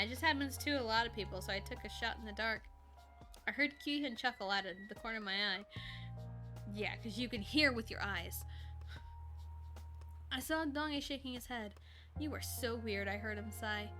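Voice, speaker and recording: A woman's voice: a little high, pushed and bright. A woman casually reading a first-person story aloud on a live stream, informally, with ad-libbed asides and lightly voiced dialogue.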